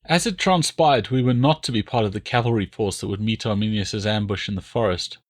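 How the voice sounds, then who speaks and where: A man's voice: fairly low pitch, a little fast. Man narrating a historical audiobook. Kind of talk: scripted novel narration.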